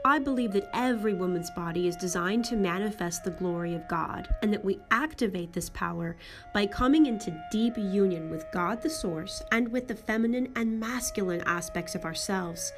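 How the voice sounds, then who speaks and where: A woman's voice: medium pitch, normal speed, over music. A woman hosting a solo spiritual podcast, sounding nasal from a head cold and speaking in an earnest, reflective way with frequent fillers. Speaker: podcast host and spiritual coach.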